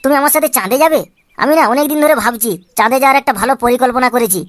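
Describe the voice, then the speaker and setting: A woman's voice: sits high, racing, very noisy, close to an everyday voice. A woman voicing a cheeky, boastful young man full of schemes in a comic cartoon, chatty and wheedling. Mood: boastful, scheming. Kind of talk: comic amateur cartoon dialogue.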